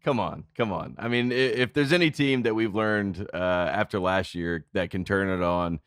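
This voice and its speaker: A man co-hosting a sports podcast, relaxed and quick to laugh as he banters back. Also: sits low, clear, resonant tone, no echo, studio quality. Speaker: podcast co-host. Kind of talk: casual sports chat.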